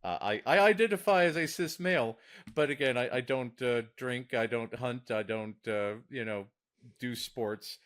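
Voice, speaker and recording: A man's voice: moderate pace, fairly bright tone. A man, co-hosting a casual podcast as a diagnostician, often restarting his words and laughing easily. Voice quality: deep voice.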